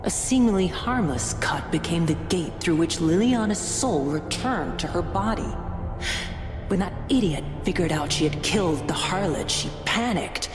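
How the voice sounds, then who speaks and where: A woman's voice: lowish voice, expressive, normal speed, natural voice. An actress playing a cold, scornful, zealous cultist in a video game, mocking and composed as she speaks. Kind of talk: dramatic video game acting. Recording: echoey hall reverb, music behind.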